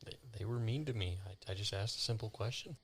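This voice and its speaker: A man with a husky voice speaking in character as a player in a tabletop role-playing game. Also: lowish voice, fast. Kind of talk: in-character improvised dialogue.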